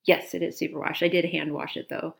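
A woman with a lowish, gravelly voice talks through her finished knits with easy enthusiasm. She is a podcast co-host in casual chat, a bit sniffly, lingering over details. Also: little pitch movement, fairly quick. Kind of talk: casual chat about knitting.

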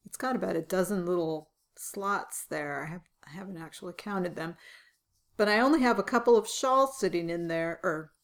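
A woman's voice: on the low side, normal speed. A woman co-hosting a casual podcast chat, her voice a little scratchy, talking through details and chuckling now and then. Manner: relaxed, chuckling, describes details. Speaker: podcast co-host.

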